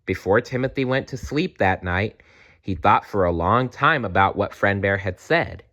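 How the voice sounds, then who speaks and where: A man narrating a children's storybook aloud in an expressive read-aloud style. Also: fairly low pitch, normal speed. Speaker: storybook reader.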